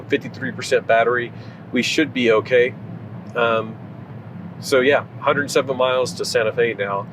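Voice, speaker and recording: A mid-pitched man in his forties talks casually from the driver's seat of a car at night, picked up by a dashboard camera. He thinks aloud with lots of "uh" and "like", and faint road hum sits underneath. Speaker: vlogger. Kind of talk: casual chat while driving. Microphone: camera mounted on the dashboard.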